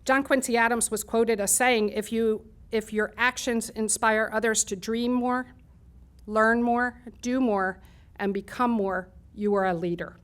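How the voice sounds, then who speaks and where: A woman's voice: a little high, fairly even intonation, a little slow. Middle-aged woman, college program leader, formal ceremony speech, reads long lists of thanks.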